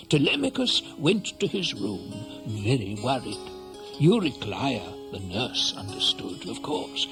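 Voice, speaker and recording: An actor playing a knowing storyteller who narrates a 1970s radio drama with conversational asides. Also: male voice, mid-range voice. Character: knowing, digressive male storyteller.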